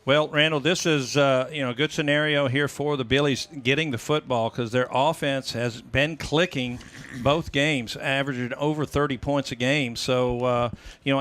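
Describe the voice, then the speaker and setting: A man's voice: mid-range voice, fairly bright tone. A man giving casual analysis as a color commentator at a football game. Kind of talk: casual live sports commentary.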